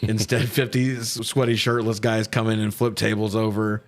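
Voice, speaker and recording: A man's voice: fairly low pitch, level tone, moderate pace. A man co-hosting a movie review in casual, profane recap commentary, with an irreverent way of talking. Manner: irreverent, swears freely. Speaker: podcast co-host reviewing movies.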